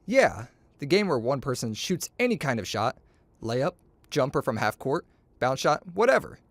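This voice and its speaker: A man reading a children's story aloud, acting out the dialogue in an expressive, playful, boastful way. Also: medium pitch, moderate pace, dry recording.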